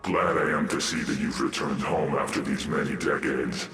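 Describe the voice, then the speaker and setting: Game role: stately alien guard, bottomless register, ceremonial wary diction, distant sound. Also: male voice, very deep voice, fairly even intonation, normal speed, fairly bright tone. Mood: wary greeting. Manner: archaic, formal phrasing. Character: stately alien dark warrior guard, male, formal and wary. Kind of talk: video game voice acting. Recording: echoing, distant, faint game music.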